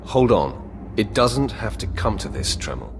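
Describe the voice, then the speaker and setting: An actor playing a stern, self-assured young Sith warrior in a video game, speaking in short, firm phrases. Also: male voice, on the low side, sing-song, a little slow. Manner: terse, firm delivery. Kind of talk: video game cutscene voice acting. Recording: loud music under the voice.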